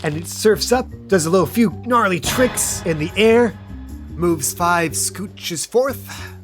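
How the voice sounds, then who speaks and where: A man's voice: high-pitched, animated, slow, soft background music. A man narrating an improvised tabletop game as game master, casual and playful in manner.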